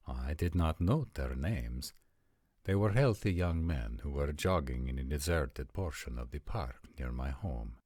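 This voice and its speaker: A man voices a vampire prince for a fantasy roleplay. He is extremely low in pitch and unhurried, with formal, deliberate phrasing. He sounds courtly, softly amused and intimate, in a dry, close recording. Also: expressive, no echo, full character voice. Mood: patient, intrigued.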